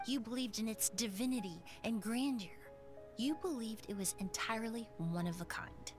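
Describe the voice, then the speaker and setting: An actress voicing a calm, composed young woman perfumer who lays out the case in a video game, over game music. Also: on the high side, moderate pace, lightly acted.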